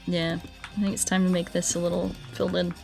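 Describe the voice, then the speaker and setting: A woman's voice: fairly low pitch, little pitch movement, quick pace, vocal fry, a little mellow, soft background music. A woman livestreaming a video game, chatting casually with viewers in a giggly, playful, mock-earnest way. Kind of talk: casual chat with viewers while playing.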